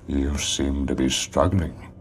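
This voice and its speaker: Very deep, cold older schemer. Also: male voice, steady tone, a little hiss or hum. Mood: cold, probing. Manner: measured, imperious, dismissive. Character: cold, condescending older man scheming over a young witch. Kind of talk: dramatic video game voice acting.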